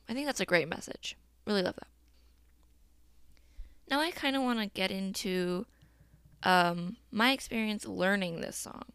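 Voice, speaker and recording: A woman's voice: mid-range voice, fairly bright tone, clean tone, dry recording, clean studio sound. A young woman hosting a casual solo podcast, chatty and upbeat, laughing often and using fillers. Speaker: podcast host. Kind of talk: casual solo podcast chat about a song.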